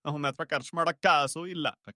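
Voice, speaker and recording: A man's voice: on the high side, fairly even intonation, normal speed, sharp tone, no echo, studio quality. A man voicing a bossy, selfish young friend in a cartoon story, slangy and dismissive. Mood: scheming scorn. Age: young adult. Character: brash, selfish young ringleader of college friends. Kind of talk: cartoon short story dialogue.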